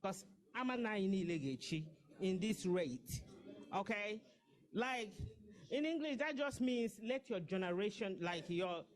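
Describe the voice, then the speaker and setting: Impassioned woman rallying a crowd. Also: medium pitch. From Nigeria. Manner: impassioned, persuasive, pleading. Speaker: diaspora campaign organizer. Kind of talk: speech to a gathering.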